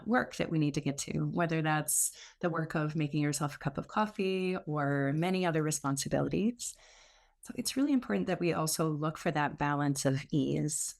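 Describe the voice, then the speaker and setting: A woman teaching meditation, giving gentle, soothing spoken instruction with unforced phrasing and long pauses. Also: low voice.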